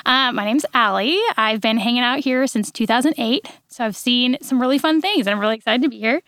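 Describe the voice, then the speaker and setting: A bright-toned woman in her thirties with a gravelly fry running through her speech, talking with bubbly good humor. She is recorded in a dry, close podcast studio. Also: on the high side, dry recording. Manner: bubbly, quick to laugh. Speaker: podcast guest, devotional writer. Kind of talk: casual chat and devotional teaching.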